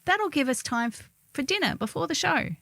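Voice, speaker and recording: A woman's voice: high-pitched, very animated, normal speed, gravelly, bright, forceful tone, dry recording. Middle-aged woman, dry close recording. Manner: wry, theatrical storytelling with acted-out quotes. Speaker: columnist reading own humorous article. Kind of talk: scripted narration of a humorous first-person column.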